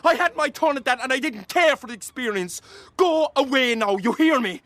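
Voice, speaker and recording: A high-pitched, very animated Irish man, cantankerous and proud, living rough. He grumbles with theatrical exasperation and biting sarcasm, in radio drama style. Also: normal speed, no echo. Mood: exasperated. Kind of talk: radio play acting.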